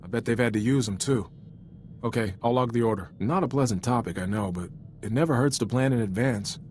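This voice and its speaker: A man's voice: mid-range voice, fairly quick, noisy background, close to an everyday voice. A man playing an earnest, loyal Republic soldier in a video game, speaking frankly in an informal, sincere military manner. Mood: casual, practical. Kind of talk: cinematic video game voice acting.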